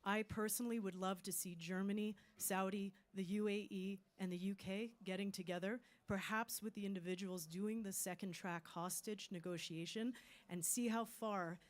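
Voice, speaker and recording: A woman's voice: mid-range voice, fairly even intonation, normal speed. A woman speaking earnestly on a panel, with hesitant restarts. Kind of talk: panel discussion.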